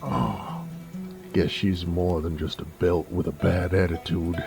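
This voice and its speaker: Man playing a very slow, drawling Western bounty hunter. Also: sits low, fairly even intonation, creaky, music in the background, full character voice. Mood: amused, flirtatious. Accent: American Southern, Western drawl. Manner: drawling, teasing, theatrical. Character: flirtatious, roguish werewolf bounty hunter in a Western. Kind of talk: Western audio roleplay acting.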